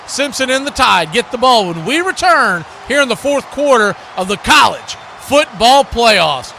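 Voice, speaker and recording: A man doing excited live play-by-play commentary of a football game. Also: very high-pitched, measured pace, gravelly, slight background noise.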